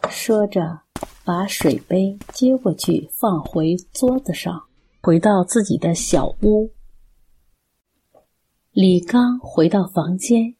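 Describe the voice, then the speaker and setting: Slow, mellow woman narrator. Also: mid-range voice, breathy edge. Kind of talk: scripted radio drama narration.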